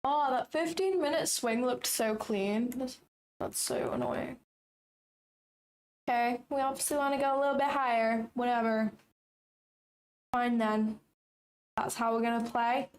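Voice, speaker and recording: A young woman with a raspy voice, chatting casually and thinking aloud as she hosts a live trading stream. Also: a little high, steady tone, measured pace, long pauses. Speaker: trading livestream host.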